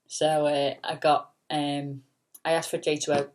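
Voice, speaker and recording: A woman with a notably low, soft voice talks in a loose, meandering way. She fills her sentences with "um" and "you know" and drops in a casual swear word now and then. It sounds like an unscripted late-night vlog. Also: little pitch movement, slow. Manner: chatty, full of fillers and casual swearing. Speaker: singer and vlogger. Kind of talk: rambling late-night chat.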